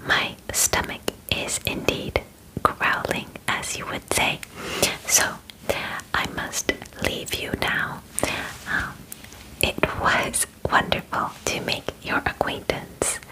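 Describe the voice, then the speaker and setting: A young woman whispers slowly in an ASMR roleplay, close to the microphone. She plays a polite alien examiner with formal, deliberate phrasing. Her delivery is clinical yet soothing and reassuring. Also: bright, forceful tone, low-quality recording. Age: 20s. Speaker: ASMR performer. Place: small bedroom, indoors.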